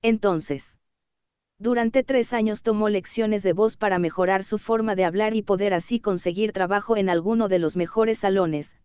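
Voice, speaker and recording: A woman narrating an audiobook in a steady, even reading voice. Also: mid-range voice, moderate pace, smooth, no room sound, highs cut off.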